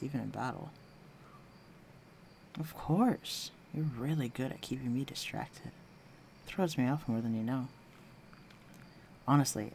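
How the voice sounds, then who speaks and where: A man acts out a self-assured fantasy villain in an intimate roleplay audio drama. His mid-pitched voice has a gravelly fry and moves at an unhurried, teasing pace, flirtatious yet protective. Faint night noise and crickets sit behind him. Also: close to an everyday voice. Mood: concerned, reassuring. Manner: teasing, self-assured, unhurried, dropping into hushed near-whispers. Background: night ambience with crickets.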